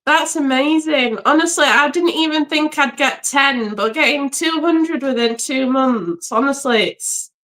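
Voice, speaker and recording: A woman from England, a guest on a live stream, chatting warmly and laughing often over a video call from home. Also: sits high, measured pace, hardly any pauses. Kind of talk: casual live-stream chat. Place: home by the sea.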